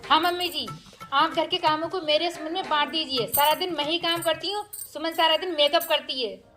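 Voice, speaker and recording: A woman's voice: sits high, fairly even intonation, brisk, far from the mic, voice pushed up. A woman playing an aggrieved, quarrelsome young elder daughter-in-law in a family moral-story skit, complaining emphatically. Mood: indignant complaining. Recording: roomy echo with loud music behind.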